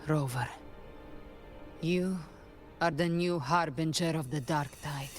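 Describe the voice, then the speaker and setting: An actress playing a cool, cryptic guardian in a video game, speaking with deliberate, theatrical poise as she explains. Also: low voice. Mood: cryptic, assured. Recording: game music clearly behind the voice.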